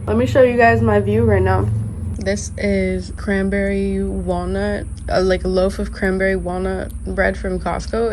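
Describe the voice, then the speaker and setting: A woman's voice: medium pitch, darkish tone, a little hiss or hum, low-quality recording. Stuffy young woman rambling to camera. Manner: chatty, rambling, lots of "like". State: stuffy and sick. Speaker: vlogger. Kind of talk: casual vlog chat. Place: outdoor patio by a golf course. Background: birds and outdoor ambience.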